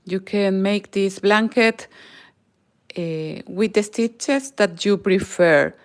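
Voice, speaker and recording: A woman's voice: medium pitch, slow, clear, resonant tone. A middle-aged Peruvian woman with a Spanish accent, a native Spanish speaker, giving a live crochet tutorial in careful non-native English that often drops to a near whisper. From Peru. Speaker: crochet channel host.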